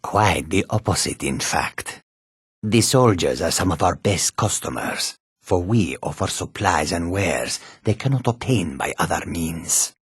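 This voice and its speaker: Low, husky cat-folk trader. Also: male voice, animated, dry recording, studio quality, exaggerated voice. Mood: shrewd, assured. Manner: measured, formal, archaic phrasing. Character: male cat-folk caravan trader, courteous, wary, homesick. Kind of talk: fantasy video game character dialogue.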